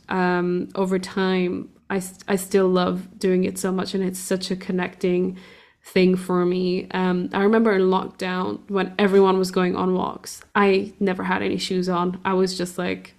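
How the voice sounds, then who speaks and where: A young woman from Portugal chatting casually, rambling with lots of fillers and restarts. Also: on the low side. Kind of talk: casual conversation.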